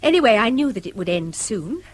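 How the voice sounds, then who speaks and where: An actress playing a restrained, wistful middle-aged English wife in a radio drama, with a breathy, husky edge. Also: female voice, medium pitch, big pitch swings, fairly quick. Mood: wistful, defiant.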